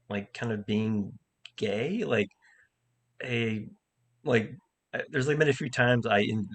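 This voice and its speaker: A man with a stutter speaks in a fairly low voice, talking candidly and thoughtfully. His sentences halt and restart often, with repeated words, in a relaxed casual chat. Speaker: comic and improv teacher. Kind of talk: casual conversation.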